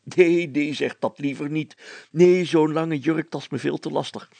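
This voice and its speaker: A man voicing an excitable, stubborn, self-satisfied small-town mayor with a blustery manner in a story. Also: a little high, moderate pace, gravelly, dry recording, voice pushed up. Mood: excited, scheming.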